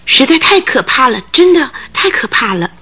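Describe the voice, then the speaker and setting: A woman's voice: high voice, very animated, normal speed, pushed and bright, slightly rough, exaggerated voice. An actress in a Chinese radio drama playing a thin, fidgety, gossipy landlady of about forty, agitated and full of exclamations. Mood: frightened, aghast.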